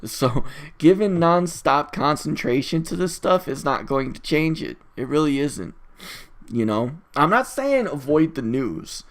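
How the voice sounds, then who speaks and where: Solo audio-log host, highly animated pitch swings, casual rambling, exasperated, opinionated, emphatic, sweary. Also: measured pace. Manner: rambling, easily distracted, opinionated.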